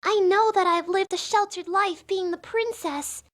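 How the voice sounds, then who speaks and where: A woman's voice: extremely high pitch, steady tone, unhurried, fairly bright tone. A woman playing an earnest, affectionate, sheltered young princess with a girlish manner in a video game fandub.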